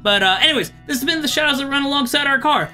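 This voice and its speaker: Male gaming host, high-pitched and bright, casual and expressive, light music underneath. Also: racing. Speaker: let's play host reading a visual novel aloud. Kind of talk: gameplay commentary and dramatic read-aloud. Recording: music behind the voice.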